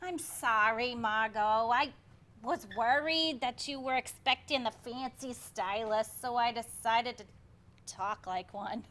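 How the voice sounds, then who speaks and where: Woman doing eager hairstylist lady in a stage comedy, high voice, takes her time. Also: slow. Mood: sheepish. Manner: theatrical, expressive. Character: Betty, eager woman hairstylist feigning a posh British accent.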